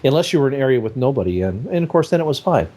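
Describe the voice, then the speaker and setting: A man's voice: mid-range voice, big pitch swings, racing, mellow. A man hosting a casual gaming podcast, rambling on at length with plenty of fillers and restarts. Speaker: podcast host.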